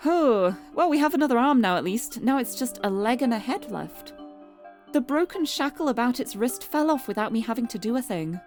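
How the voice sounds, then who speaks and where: A woman's voice: a little high, lively intonation, brisk, darkish tone. A woman streamer reading visual novel narration aloud, expressively and dramatically, with casual asides to viewers. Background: music.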